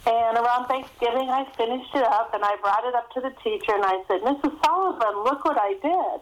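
Female author guest, middle-aged or older, higher-pitched voice, informal anecdotal interview delivery. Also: fairly quick, low-quality recording. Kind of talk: casual interview storytelling.

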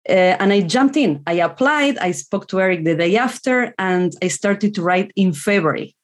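A woman's voice: mid-range voice, animated, normal speed. A woman in her forties from Argentina, a native Spanish speaker with an Argentine Spanish accent, speaking earnestly and reflectively as an author guest. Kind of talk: casual interview answers.

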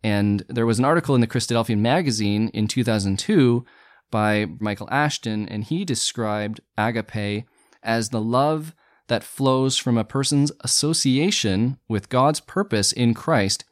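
A man on a Bible study podcast, explaining Greek word roots in a measured, teacherly way. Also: mid-range voice, no room sound, studio quality. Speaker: podcast co-host.

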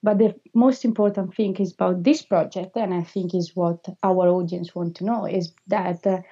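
An Italian woman in her thirties talks through interview answers in English, her second language, stopping often on filler sounds. Her voice is mellow and warm. The recording is a little dull and lo-fi. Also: fairly low pitch, fairly even intonation, normal speed, husky. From Italy. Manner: hesitant, frequent filler sounds, non-native phrasing. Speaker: filmmaker being interviewed.

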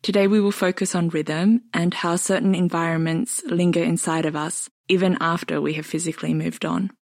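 Low-voiced woman podcast host, dry sound. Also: steady tone, moderate pace, a little mellow, dry recording. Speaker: podcast host, dance artist. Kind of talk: scripted intro then interview questions.

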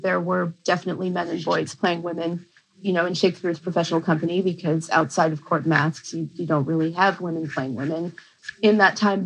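A woman's voice: fairly low pitch, creaky, slight background noise, rough recording. A woman professor giving a conversational academic explanation as an interview guest, with informal asides.

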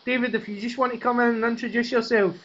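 A podcast host with a very high voice leads the conversation with short, friendly prompts. His recording sounds dull and lo-fi, with the top end rolled off. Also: little pitch movement, quick pace, a bit bright. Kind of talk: casual interview hosting.

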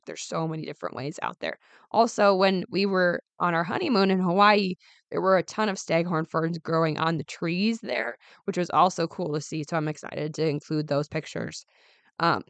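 A woman in her late twenties talks casually into a close mic, her voice a little raspy and worn. She chats upbeat with plenty of ums and asides. The sound is dry, with no room around her. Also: lowish voice, no room sound. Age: 20s. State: raspy, recovering voice. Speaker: podcast host. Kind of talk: casual solo podcast chat.

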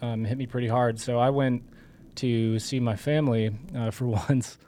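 A man co-hosting a casual podcast, his voice still hoarse, talking in a joking, rambling way with many fillers. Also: medium pitch, steady tone, normal speed, dark tone. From Michigan. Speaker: podcast co-host. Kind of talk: casual political podcast chat.

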